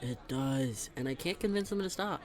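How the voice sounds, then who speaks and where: A man's voice: medium pitch, expressive, moderate pace, lightly acted. A young man playing a teasing, chatty best friend in a conversational audio roleplay, talking in a casual, emphatic way. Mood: weary, annoyed. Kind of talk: conversational scripted roleplay monologue.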